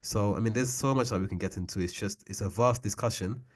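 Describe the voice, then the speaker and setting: Emphatic man with a lowish voice. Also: fast talker. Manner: emphatic, repeats phrases for stress. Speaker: discussion leader and teacher. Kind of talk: book club discussion, informal lecturing.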